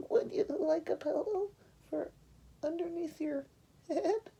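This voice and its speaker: A timid little female sheep from a storybook. The voice is very high, soft and squeaky, with gentle, polite phrasing. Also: slow pace, vocal fry, full character voice.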